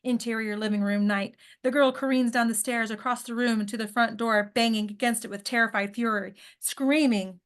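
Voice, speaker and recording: Female narrator for a script table read, slightly high voice, trips on and repeats some words. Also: fairly even intonation, normal speed, hardly any pauses. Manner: stumbles over written words and rereads them. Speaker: narrator reading stage directions.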